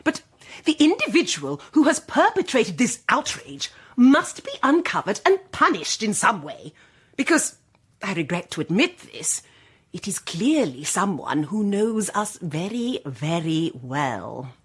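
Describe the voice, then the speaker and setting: An actress playing a haughty, prim English duchess who speaks with formal gentility in a radio comedy-drama. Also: female voice, mid-range voice, very animated, a little slow, husky. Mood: indignant. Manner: haughty, formal, primly genteel.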